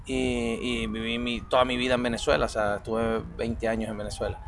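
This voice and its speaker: Canarian male singer-bassist, loose word-searching answers, mid-pitched, faint noise behind. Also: level tone, a little fast. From Canary Islands. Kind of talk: casual interview answers.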